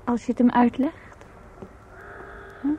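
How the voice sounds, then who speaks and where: An actress playing a composed middle-aged mother in a radio play, chatting gently in an unhurried, conversational way. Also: a little high, flat delivery, a little hiss or hum. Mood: tired, gentle. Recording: dull, muffled old radio recording.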